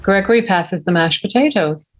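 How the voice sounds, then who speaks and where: A woman's voice: mid-range voice, expressive, moderate pace, lo-fi sound. Woman reading stage directions. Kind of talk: reading screenplay scene directions.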